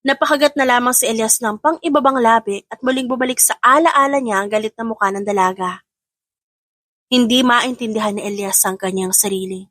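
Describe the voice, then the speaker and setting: A woman's voice: on the high side, a little fast, a bit bright, no room sound, clean studio sound. A woman reading scripted dramatic story narration as an audiobook narrator.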